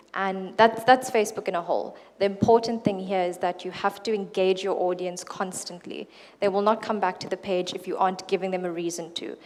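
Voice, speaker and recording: A woman giving a keynote talk at a conference in a relaxed, conversational way. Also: mid-range voice. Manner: relaxed, with fillers and restarts. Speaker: keynote speaker. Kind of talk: conference presentation. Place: conference hall. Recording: reverberant hall.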